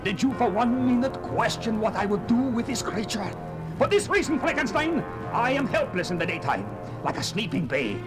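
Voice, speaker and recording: A man's voice: very high-pitched, very animated, normal speed, over music, low-quality recording. An actor playing an arrogant, commanding male vampire in a theatrical, grandiose horror audio drama style. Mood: gloating, commanding.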